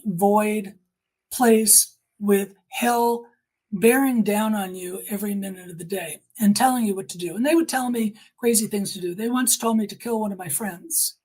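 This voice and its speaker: An older woman speaks as a guest in an interview, giving personal testimony. Her voice sits at a middle pitch and has a husky, unclear edge. She talks emphatically, repeating phrases deliberately to drive them home. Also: a little mellow.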